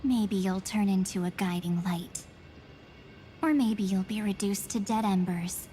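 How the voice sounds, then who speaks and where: A woman voicing an enigmatic, cold young woman in a video game, speaking in measured, breathy half-whispers. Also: mid-range voice, steady tone, mellow, slight background noise, natural voice. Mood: solemn, ominous. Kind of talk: scripted video game acting. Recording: music behind the voice.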